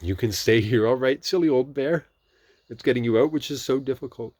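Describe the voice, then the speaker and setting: A man's voice: medium pitch, fairly quick, darkish tone, no echo, pitched above the performer's own voice, lightly acted. A man voicing a kindly young boy from a storybook, speaking in a lifted, patient way.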